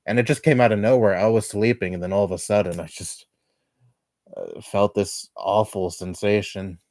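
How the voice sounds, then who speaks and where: A man in his twenties chatting casually on a livestream at home, rambling and laughing softly between thoughts. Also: on the low side, steady tone, normal speed, no echo, clean studio sound. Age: 20s. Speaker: livestreamer reading chat. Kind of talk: casual chat.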